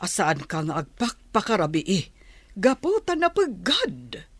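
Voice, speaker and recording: A Filipino woman playing a concerned, gently scolding middle-aged mother in a radio drama, with a husky voice. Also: mid-range voice, huge pitch swings, measured pace, no room sound, exaggerated voice. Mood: concerned, chiding. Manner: motherly, questioning.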